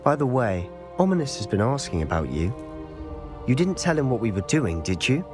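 An actor playing a secretive, reckless teenage wizard student in a fantasy game, earnest and intense. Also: male voice, medium pitch, sing-song, normal speed, music in the background, natural voice. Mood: focused, conspiratorial. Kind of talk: scripted fantasy game acting.

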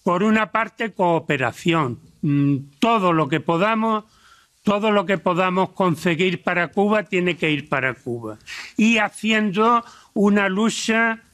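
A man's voice: high-pitched, fairly bright tone. An older man giving an emphatic, rallying political speech at a desk microphone in a large meeting hall. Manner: emphatic, rallying, ends in a slogan. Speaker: activist speaker at a forum.

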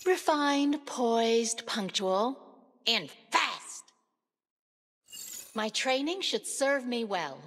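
A woman's voice: fairly high pitch, very animated, very slow, pauses often, fairly bright tone, in a large hall, a little hiss or hum, full character voice. An actress playing an earnest, determined young woman warrior turned racer, in short, spirited video game lines.

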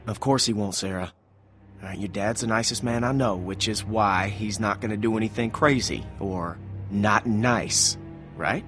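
A man playing a level-headed, brisk leader of a survivor group in a video game, casual and decisive. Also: on the low side, over music, close to an everyday voice. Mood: calming.

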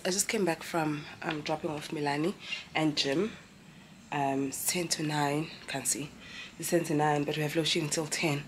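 Mom vlogging at home, lowish voice, rambly and self-correcting. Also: female voice, noisy background. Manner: chatty, rambling, corrects herself mid-sentence. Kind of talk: casual vlog chat to camera.

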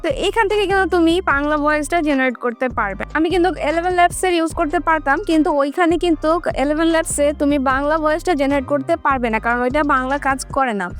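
A woman's voice: high-pitched, fast talker, few pauses, faint music behind. A woman giving a casual how-to tutorial, talking directly to her viewers step by step. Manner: instructional, addressing viewers directly. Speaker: tutorial host.

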